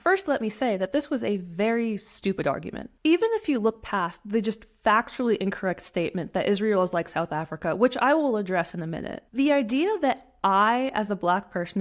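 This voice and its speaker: Young woman, from the US, scripted personal commentary, earnest, emphatic, measured, mid-range voice. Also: medium pitch. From United States. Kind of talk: scripted personal essay narration.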